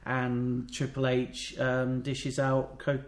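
A man's voice: medium pitch, completely flat delivery, slow. Wry British man in an echoey room. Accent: British English. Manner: wry, rambling, jokey asides. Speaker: podcast co-host. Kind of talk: casual wrestling chat. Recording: roomy echo.

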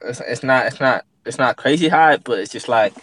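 A man chatting on a podcast, halting and restarting often as he searches for the right word. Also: medium pitch, a bit bright, slight background noise. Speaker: podcast co-host. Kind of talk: casual chat.

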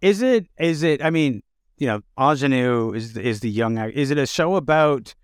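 A man speaks as a podcast host. His pitch is lively and varied, sitting in an ordinary male register as he presents and interviews. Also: moderate pace, clean tone, no room sound, clean studio sound. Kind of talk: scripted podcast narration and interview.

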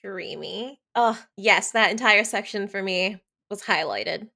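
A woman's voice: medium pitch, a little slow, gravelly, sharp tone. Gushing woman podcast co-host. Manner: enthusiastic, gushing. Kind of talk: casual book-club chat, reading highlighted passages.

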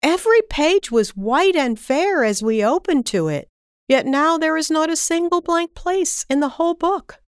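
A woman's voice: sits high, lively intonation, moderate pace, no echo, studio quality, pitched above the performer's own voice. A woman voicing an earnest, pleading little boy in a storybook tale. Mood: astonished.

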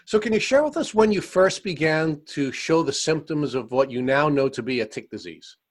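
A man's voice: fairly high pitch, expressive, moderate pace, no room sound, studio quality. A man hosting an interview program, reading a scripted introduction and putting questions to a guest. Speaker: interview host.

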